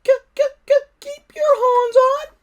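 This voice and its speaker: A man voicing a small, grumbling pet dragon with a squawky falsetto and a heavy stutter. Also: fairly even intonation, unhurried, muffled recording. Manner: heavy stutter on hard consonants. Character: grumbling stammering little pet dragon, cheeky and reluctant.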